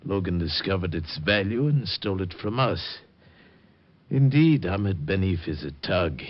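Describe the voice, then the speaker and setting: Dying, urbane low-voiced crook, muffled radio. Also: male voice, animated. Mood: grave, instructive. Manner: formal, deliberate, theatrical. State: wounded, dying, laboured. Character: dying, urbane crooked dealer in stolen art, male. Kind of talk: old-time radio drama acting. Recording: muffled old-time radio recording.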